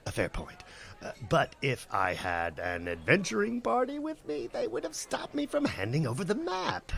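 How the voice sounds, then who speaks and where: An excitable bard, swinging pitch. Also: male voice, normal speed, gravelly, full character voice. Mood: scheming enthusiasm. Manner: excitable, hammy, stammers when put on the spot. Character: eager, boastful young bard who tends a tavern. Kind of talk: comedy radio play acting.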